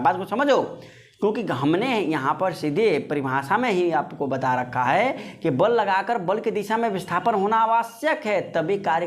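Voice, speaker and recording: Higher-pitched man teaching. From India. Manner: conversational, repeats phrases, poses and answers his own questions; addresses viewers as friends. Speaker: physics teacher. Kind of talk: informal explanatory lesson.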